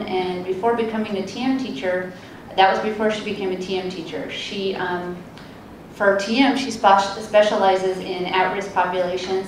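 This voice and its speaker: A woman moderating a meditation panel, talking slowly and gently with many fillers as she guides and introduces speakers. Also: fairly low pitch. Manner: calm, slow, gentle, hesitant with fillers. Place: conference room with a panel table. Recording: very echoey room, distant mic, hiss.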